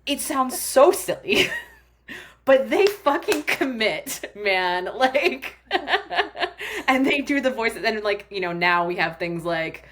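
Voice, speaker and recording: A woman's voice: a little high, very animated, slow pace, fairly bright tone, slight background noise. A woman guest, a sex and relationship coach, talking frankly and animatedly in a casual podcast conversation, swearing freely.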